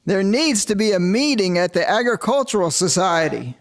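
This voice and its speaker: A man acts as a worried potato farmer in an amateur historical drama. His pitch is strikingly high for a man. He sounds concerned and full of questions. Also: lively intonation, normal speed. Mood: worried, questioning.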